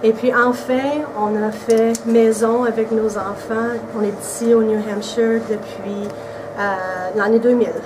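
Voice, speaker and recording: Interviewee: woman in her 40s, québécois accent, relaxed chat, distant camera mic, hissy room. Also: on the high side, measured pace, few pauses. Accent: Québécois French. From Quebec, Canada. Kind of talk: casual interview answers. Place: indoors by a brick wall.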